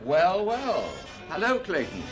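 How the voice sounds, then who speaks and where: A man's voice: high-pitched, huge pitch swings, slow pace, over music, heavily acted. An actor playing a breezy, chatty man about town in a radio drama, effusive and sociable.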